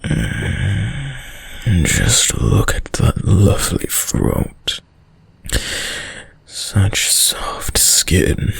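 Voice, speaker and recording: A man playing a sadistic, dominant vampire in an intimate audio roleplay, speaking in a commanding, silkily menacing and condescendingly tender way, deep and breathy. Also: no room sound, exaggerated voice. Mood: predatory, seductive. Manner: slow, commanding, condescendingly tender, often dropping to whispers. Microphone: close, dry studio mic.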